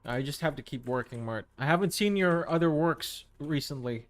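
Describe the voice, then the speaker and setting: A man's voice: a little high, lively intonation, moderate pace, no echo. Man chatting with his stream viewers. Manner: reads and answers chat messages, friendly, hesitant restarts. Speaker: livestream host. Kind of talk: casual chat with viewers while painting.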